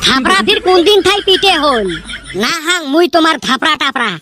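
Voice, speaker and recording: Woman voicing a very high, whiny cartoon village youngster. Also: lively intonation, fairly quick, heavy background noise. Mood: whiny pleading. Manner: whining, complaining, scolding. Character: whiny, cheeky, food-obsessed village youngster craving pitha cakes. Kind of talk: comic cartoon dialogue in village dialect. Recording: music bed under the voice.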